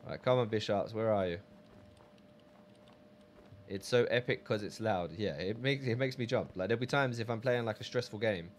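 A man's voice: on the low side, moderate pace, long pauses, slight background noise. Chatty man streaming a game. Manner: chatty, reads viewer messages aloud. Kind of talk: casual live-stream chat.

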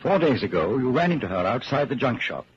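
An actor playing a grave narrator in a 1940s radio drama, speaking with measured, deliberate delivery. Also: male voice, mid-range voice, very animated, lightly acted. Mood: grave, suspenseful. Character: grave narrator telling the listener his own story in second person. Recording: scratchy, muffled 1940s radio broadcast.

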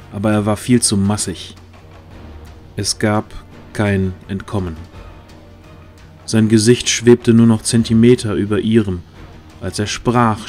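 A man narrates a fantasy audio play in a fairly low voice. It is scripted storytelling, with faint music running underneath. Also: darkish tone.

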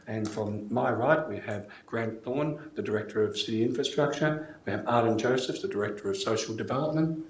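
An older man with an Australian accent, formally chairing a meeting as mayor in a measured, procedural manner. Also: lowish voice. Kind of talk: formal scripted meeting address. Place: indoor council chamber. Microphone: gooseneck desk microphone. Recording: echoey room, clear background noise.

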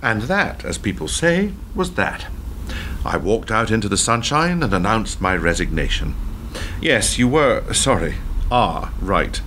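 A man's voice: fairly low pitch, very animated, unhurried, a bit bright, a little hiss or hum. A man acting in a radio play as a defiant, wry former prime minister, speaking emphatically and articulately. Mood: wry, resigned.

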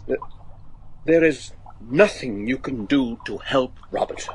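Foreboding local friend, animated pitch. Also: male voice, slow, a little hiss or hum. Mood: grave, reluctant. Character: foreboding local man, loyal friend warning a father. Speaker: actor. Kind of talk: gothic horror radio drama acting.

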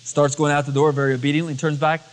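Male pastor, high voice, storytelling sermon, colloquial acted-out dialogue, cassette recording with long hall reverb. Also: flat delivery, fast talker. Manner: dramatizes dialogue in everyday colloquial words.

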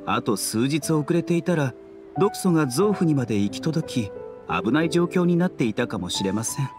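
Casting: polite male physician for a game actor, lighter high register, measured bedside manner, music in background. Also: lively intonation, lightly acted. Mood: reassuring, clinical. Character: courteous, soft-mannered young male physician, reassuring and composed. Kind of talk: Japanese game voice acting.

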